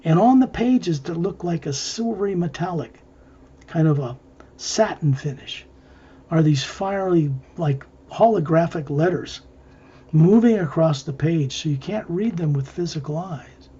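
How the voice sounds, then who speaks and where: A man leading an improvised guided visualization, narrating in measured, halting short phrases. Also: fairly high pitch, expressive, mellow, husky, muffled recording. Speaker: guided meditation leader.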